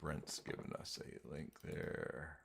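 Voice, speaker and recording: Deep-voiced, gravelly man thinking aloud. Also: steady tone, a bit bright. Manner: halting, thinking aloud, muttering to himself. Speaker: live stream host, software tutorial presenter. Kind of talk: casual unscripted screen-share walkthrough.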